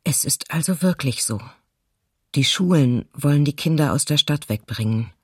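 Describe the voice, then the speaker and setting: An actress playing a sober, reflective woman writer of thirty-seven, reading her diary in a radio docudrama with a husky voice. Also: low-pitched, animated, normal speed, darkish tone, no room sound, clean studio sound. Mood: concerned. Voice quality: husky, creaky.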